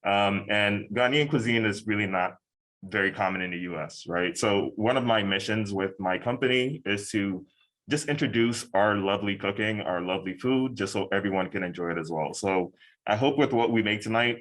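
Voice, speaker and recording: A man's voice: lowish voice, brisk, a bit bright, low-quality recording. A man leading a hands-on cooking demonstration, upbeat and encouraging, with frequent fillers as he explains each step. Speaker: cooking instructor and hot sauce maker.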